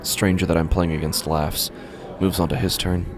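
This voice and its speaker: A man narrating a first-person horror story in a halting, uneasy way, often breaking off mid-sentence, over a music score. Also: deep voice, flat delivery. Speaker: podcast narrator.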